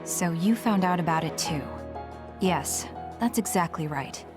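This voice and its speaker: Slow, cold, lowish young female fighter played by an actress. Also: music in the background, lightly acted. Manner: curt, detached. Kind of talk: anime game dub acting.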